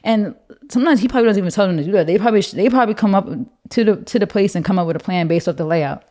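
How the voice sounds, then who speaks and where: A young woman with a deep voice, co-hosting a casual podcast chat, animated and full of fillers. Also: very fast. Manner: animated, lots of "like", mocking voices. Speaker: podcast co-host.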